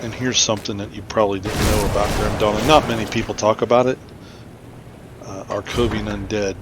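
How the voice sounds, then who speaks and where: Low-pitched man over noisy game sound. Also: level tone, a little fast. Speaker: gaming video host. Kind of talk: casual game playthrough commentary. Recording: clear background game noise, rumbling effects.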